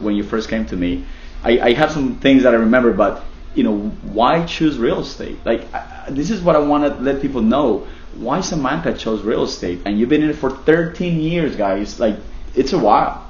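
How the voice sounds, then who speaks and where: A man's voice: medium pitch, reverberant, highs cut off, a little hiss or hum. A man from Colombia interviewing a colleague in a casual, joking way, often repeating himself. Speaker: interviewer, real estate team leader.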